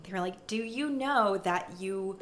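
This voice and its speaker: A woman's voice: mid-range voice, unhurried, fairly bright tone, a little hiss or hum. A young woman speaking as a prosecutor in casual conversation, thoughtful and hesitant, with many fillers and restarts. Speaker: prosecutor, podcast guest.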